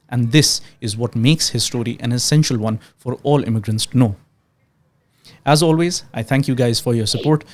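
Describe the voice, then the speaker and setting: A young man from Pakistan hosting a podcast, reading a scripted intro and then asking questions in a hesitant, conversational way with frequent restarts. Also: mid-range voice. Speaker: podcast host. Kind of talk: podcast interview.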